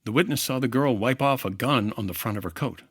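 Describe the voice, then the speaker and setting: An actor playing a dry, relentless 1950s insurance investigator in an old-time radio drama, speaking in a clipped, wry, accusing way. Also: male voice, mid-range voice, fairly quick, husky, no room sound, lightly acted. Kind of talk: old-time radio detective drama acting with narrated report.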